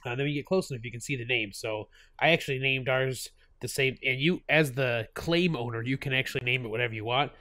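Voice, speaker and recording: A man's voice: mid-range voice, quick pace, pushed and bright, dry recording. A man with a stuffy cold hosting his gaming channel, chatting casually over gameplay, laughing often and full of fillers and restarts.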